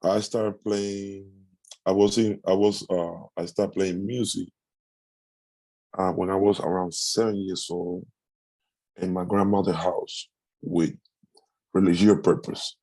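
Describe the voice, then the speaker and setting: A middle-aged man from Cuba with a Cuban Spanish accent, a Spanish speaker answering interview questions haltingly, with frequent restarts. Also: low voice, little pitch movement, warm tone, no room sound, low-quality recording. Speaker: musician guest.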